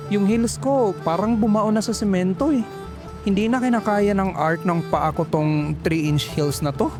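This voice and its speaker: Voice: mid-range voice, natural voice. A performer playing a sassy, flamboyant call center agent who complains in dramatic slang. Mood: exasperated. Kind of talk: horror story dialogue.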